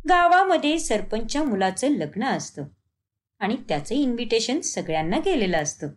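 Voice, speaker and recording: A woman's voice: fairly high pitch, big pitch swings, moderate pace. A woman narrating a scripted moral story as a storyteller.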